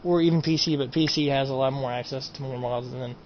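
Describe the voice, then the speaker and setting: A man's voice: mid-range voice, steady tone, a little fast. Male gaming streamer, casual and repetitive, mid-range voice on a dull lo-fi mic. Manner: rambling, repeats himself, trails off with "and everything". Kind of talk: casual mod showcase. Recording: dull lo-fi home recording, faint noise.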